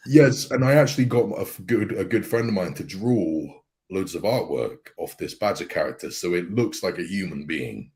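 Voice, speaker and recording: A man with a very deep voice, a guest voice actor telling his story in relaxed casual chat and laughing easily. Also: moderate pace.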